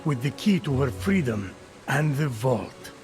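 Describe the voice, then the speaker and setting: An actor playing a wry, long-suffering titan storyteller in a video game, with measured, dry delivery. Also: male voice, medium pitch, sing-song, music in the background. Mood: narrating.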